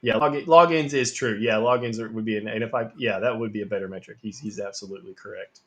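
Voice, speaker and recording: A man's voice: lowish voice, fast talker, a bit bright, dull audio. A man hosting a casual interview, agreeing often with short affirmations. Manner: affirming, lots of "yeah" and "no doubt". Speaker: podcast host.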